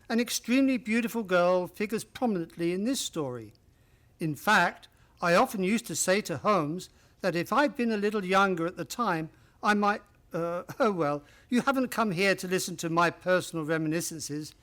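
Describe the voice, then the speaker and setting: A man playing a genial, chatty older gentleman storyteller in an old-time radio play, with courteous old-fashioned manners. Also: sits high, moderate pace. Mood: genial, reminiscing.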